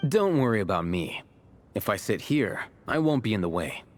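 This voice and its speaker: An aloof, learned game character with a notably deep voice. He lectures in a composed, measured manner and never seems hurried. Clear music plays behind his matter-of-fact speech. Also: big pitch swings. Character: composed, learned male scholar, aloof and unhurried. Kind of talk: video game character dialogue.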